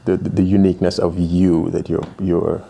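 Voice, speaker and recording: A man in his thirties hosting an interview, asking halting questions and backing the guest up with frequent short replies, in a small studio room. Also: low-pitched, level tone, gravelly, dark tone, a little hiss or hum. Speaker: interview host. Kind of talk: casual interview.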